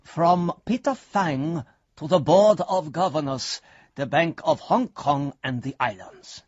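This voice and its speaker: Slow, solemn investigator. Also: male voice, on the high side, dry recording, dull audio. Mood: solemn, suspicious. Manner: formal, deliberate, deadpan earnest. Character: solemn, earnest bank investigator reporting to his governors. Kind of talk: radio drama, dictating a formal report.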